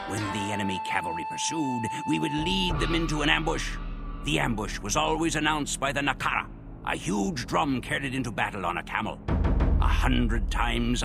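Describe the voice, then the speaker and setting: A man narrating a war campaign in solemn, measured scripted narration for game cutscenes. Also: mid-range voice, gravelly. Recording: game cutscene audio with loud music.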